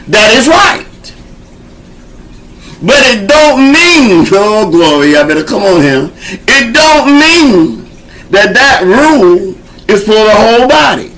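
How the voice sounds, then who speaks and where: Slow, high-voiced folksy preacher man. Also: a bit bright, slight background noise, low-quality recording. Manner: folksy, conversational preaching with call-and-response encouragement. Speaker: preacher and show host. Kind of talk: informal sermon teaching.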